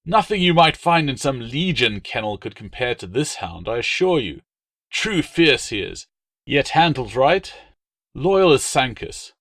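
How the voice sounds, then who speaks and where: A man's voice: medium pitch, very animated, a little slow, a bit bright, no room sound, pitched above the performer's own voice, heavily acted. A man voicing a wary, fawning dog breeder in an audiobook, with an obsequious salesman's manner. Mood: fawning, insistent. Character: wary, fawning male dog breeder hawking fighting hounds. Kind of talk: audiobook character dialogue.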